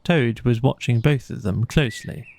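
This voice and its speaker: A man reading a bedtime audiobook as narrator, with measured, expressive storytelling. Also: mid-range voice, gravelly.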